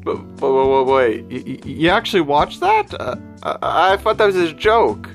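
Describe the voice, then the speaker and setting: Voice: mid-range voice, big pitch swings, moderate pace, faint music behind. A performer voicing a skeptical but kind cartoon friend who stammers and gets flustered. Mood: baffled.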